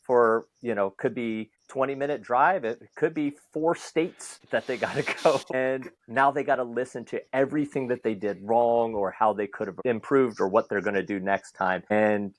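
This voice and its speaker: A man's voice: mid-range voice, moderate pace, few pauses, no room sound. A man hosting a podcast, talking conversationally and building long, reflective questions. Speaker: podcast host. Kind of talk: conversational interview.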